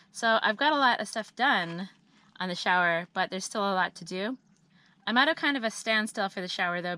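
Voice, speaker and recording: A woman giving a chatty, upbeat monthly update on her homestead work, her voice a little scratchy and hoarse, with frequent ums and uhs. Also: mid-range voice, bright, forceful tone. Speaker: homesteading vlogger. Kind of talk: casual monthly update vlog.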